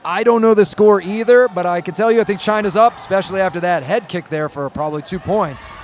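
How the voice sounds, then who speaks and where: High-pitched guy calling fights live, a bit hoarse, faint noise behind. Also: fast talker. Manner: excitable, chatty, talks to chat viewers. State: slightly hoarse. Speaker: play-by-play commentator. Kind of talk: live sports commentary.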